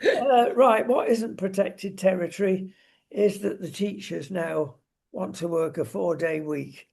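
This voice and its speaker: A woman's voice: mid-range voice, measured pace, gravelly, a little mellow, breathy edge. An older woman with a British accent hosting a casual opinion chat in a wry, exclamatory way.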